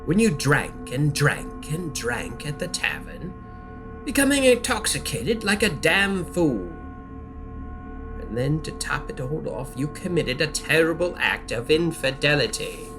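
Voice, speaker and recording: Malevolent dream knight, audio drama villain. Swooping, theatrical, falsely chummy, hungry and taunting, drawn-out lines. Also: male voice, on the high side, very animated, over music. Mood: mocking glee. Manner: theatrical, drawn-out, mockingly friendly.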